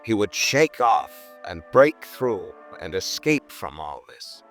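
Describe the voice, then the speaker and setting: A man's voice: fairly low pitch, sing-song, slow, fairly bright tone, soft background music, exaggerated voice. An American actor playing a troubled, resolute husband who is walking away from his life, in an intense theatrical 1950s radio drama style. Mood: impassioned, defiant.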